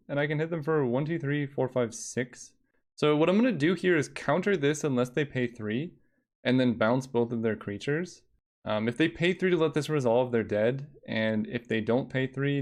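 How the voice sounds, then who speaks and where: Young man, streamer, relaxing voice, thinks aloud, mutters counts, casual game chat. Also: mid-range voice. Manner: thinks aloud, counts under his breath.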